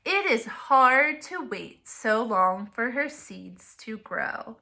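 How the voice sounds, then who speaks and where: A woman reads a children's picture book aloud as a teacher would. Her voice is fairly high and bright, and she takes her time, pausing to explain things in simple, deliberate terms for young listeners. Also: lively intonation, gravelly. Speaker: elementary teacher reading aloud.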